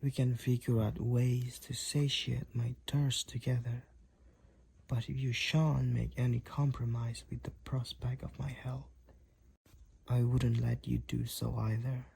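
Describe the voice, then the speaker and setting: A man voicing a devoted, overprotective vampire boyfriend in an intimate audio roleplay, with courtly, archaic, tender phrasing and a breathy, husky voice. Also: medium pitch, warm tone, slight background noise. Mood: earnest, tender. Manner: archaic, formal, tender, slow and deliberate wording.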